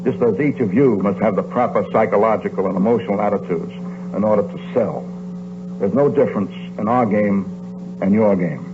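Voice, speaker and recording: A man giving an emphatic, deliberately phrased motivational speech in the manner of a coach. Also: lowish voice. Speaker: motivational speaker, sports coach. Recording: tinny phone-like archive recording, clear hum and hiss.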